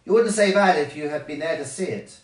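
A man's voice: on the high side, a little fast, roomy sound, recorded from a distance, close to an everyday voice. A man voicing a spiteful female wasp, a mocking, gloating bully in a children's story. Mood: taunting.

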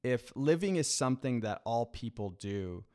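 A man's voice: medium pitch, expressive, moderate pace, mellow. A man hosting a faith podcast, introducing a guest and asking questions with enthusiasm. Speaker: podcast host. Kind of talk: scripted podcast introduction and interview. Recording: music playing beneath the voice.

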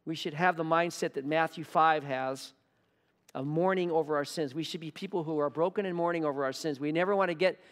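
Man, pastor, earnest sermon, slightly high voice, long hall reverb. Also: a little fast. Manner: earnest, repeats key words for emphasis. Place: church hall.

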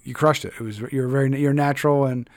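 A man's voice: mid-range voice, steady tone, fast talker, no room sound. A man, hosting an interview podcast in an enthusiastic, slangy, rambling way. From Philadelphia, US. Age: 30s. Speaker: podcast host. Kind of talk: casual interview chat.

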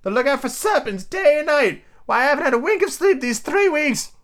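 A man's voice: very high voice, sing-song, a little fast, fairly bright tone, voice pushed up, exaggerated voice. A man voicing an indignant, distressed female pigeon in a storybook, scolding and shrieking. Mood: distressed, sobbing. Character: shrill, indignant, distressed female pigeon guarding her eggs. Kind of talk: storybook character voice.

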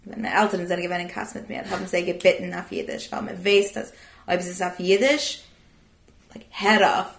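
A woman's voice: fairly low pitch, steady tone, fairly quick, gravelly, roomy sound. A woman chatting casually in a group discussion and dropping in English fillers.

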